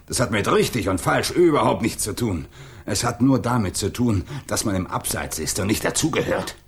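An actor playing a weary, guarded single father in a German radio drama, husky and evasive. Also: on the low side, lively intonation, moderate pace, heavily acted. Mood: resigned, defensive. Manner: evasive, defensive, hesitant.

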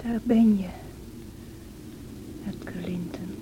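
An actress playing a feverish, wild and distraught young married woman in a radio drama, with a breathy, anguished delivery. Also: medium pitch, steady tone, takes it slowly, muffled recording, lightly acted. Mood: bitter, defiant. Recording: noisy old radio play recording.

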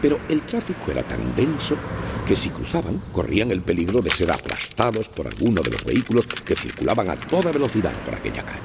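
A man narrating a children's storybook with expressive storytelling emphasis. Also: deep voice, brisk, background noise. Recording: music playing under the voice.

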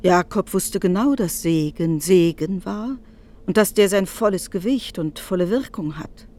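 A woman's voice: on the low side, unhurried, a little mellow. A woman narrating a literary radio drama, expressively retelling another person's speech with some irony.

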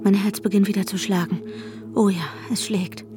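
An actress playing an anxious, obsessive woman in a German radio drama, tense and breathy, often dropping to a whisper. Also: mid-range voice, flat delivery, moderate pace, over music, lightly acted. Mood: brooding, intense. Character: anxious, obsessive, unstable woman narrating her own desperation. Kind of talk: German radio drama acting with inner monologue.

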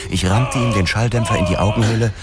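An actor playing a grim, weary male police detective, narrating a hard-boiled crime radio play in a measured way. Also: fairly low pitch, natural voice. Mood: grim, intense. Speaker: first-person narrator. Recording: music loud behind the voice.

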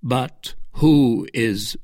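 A narrator voicing a grave, controlled man full of dread, halting and solemn, in a classic story. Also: mid-range voice, a little mellow, no echo, studio quality, pitched above the performer's own voice. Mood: horrified dread. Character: grave man, horrified, controlled, doom-laden.

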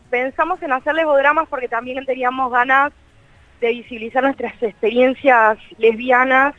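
A woman's voice: high voice, dry recording. Female phone guest, Buenos Aires accent, informal and giggly, dry slightly dull line. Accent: Buenos Aires Argentine. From Buenos Aires, Argentina. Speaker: interview guest, journalist. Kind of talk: casual phone interview. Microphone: telephone.

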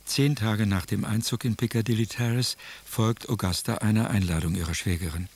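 A man giving factual, measured scripted historical narration as the narrator of a radio drama. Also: fairly low pitch, darkish tone, breathy edge.

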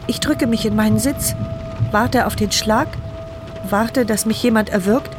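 An actress voicing a frightened woman in a wheelchair who narrates her own ordeal in a hushed, tearful first-person radio-play monologue. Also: a little high, a little slow, natural voice. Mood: terrified. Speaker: narrator and lead character. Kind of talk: radio drama inner monologue. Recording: radio play with loud music bed.

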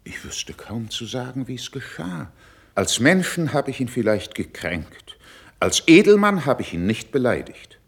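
Stern older courtier, gravelly, widely varied intonation, haughty verse delivery, radio drama. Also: male voice, mid-range voice, sing-song, full character voice. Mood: defensive pride. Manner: haughty, measured, rhetorical. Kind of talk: classical verse stage acting for radio.

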